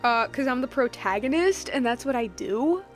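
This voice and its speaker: A woman live-streaming a game, chatting casually and reacting out loud to the story in an expressive way. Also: high-pitched, normal speed, faint music behind. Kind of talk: casual live game stream commentary, reading dialogue aloud.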